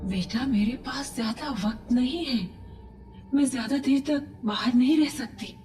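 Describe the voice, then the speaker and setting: A woman playing a dead mother's ghost in a horror audio drama, gently coaxing and pleading with her young son. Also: high-pitched, distant mic, a little hiss or hum. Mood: urgent, pleading. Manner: gentle, slow, pleading persuasion.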